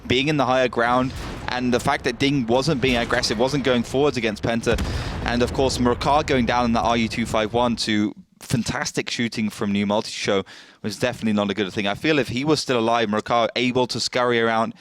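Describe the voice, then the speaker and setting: A man giving calm, analytical esports match commentary, often pausing on fillers. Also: mid-range voice. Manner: measured, analytical, frequent fillers.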